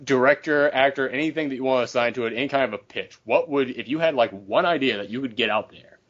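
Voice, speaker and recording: A man leads a casual podcast discussion in a mid-pitched voice. He is opinionated and swears casually. The audio is dull and lo-fi. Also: quick pace, fairly bright tone. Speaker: podcast host.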